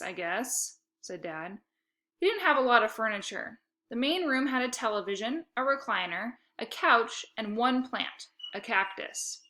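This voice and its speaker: Animated woman reading a storybook aloud, bright voice. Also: fairly high pitch. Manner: animated, emphatic dialogue. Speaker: read-aloud narrator.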